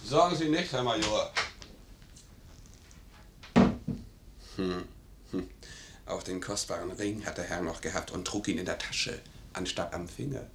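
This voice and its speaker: An actor playing a loyal, gruff, vengeful manservant in a 1950s German radio play, speaking bluntly and theatrically. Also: male voice, sits low, animated, moderate pace, background noise. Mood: scheming, muttering. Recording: roomy 1950s radio studio recording.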